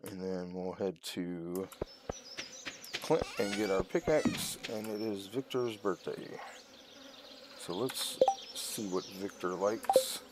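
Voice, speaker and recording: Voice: deep voice, slow, very noisy. A gamer giving casual let's play commentary, unhurriedly thinking aloud through each task. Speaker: gaming video host.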